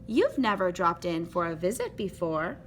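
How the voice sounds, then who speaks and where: A woman voicing a sweet, curious, excitable little girl in a children's storybook. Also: on the low side, moderate pace, slight background noise. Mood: surprised.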